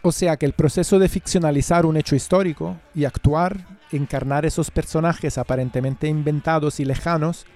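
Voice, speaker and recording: A male radio host from Spain. His mellow, slightly high voice presents and questions in articulate, measured phrases in a studio. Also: steady tone.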